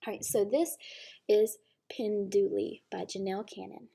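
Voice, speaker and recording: A woman teacher reading a storybook aloud to young children, pausing to explain things simply. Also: medium pitch, steady tone, creaky, dark tone, breathy edge.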